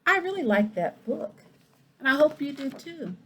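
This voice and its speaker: A woman reading a children's story aloud in an expressive, animated way, with asides to her young listeners. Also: high-pitched, moderate pace. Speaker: school principal reading a picture book aloud.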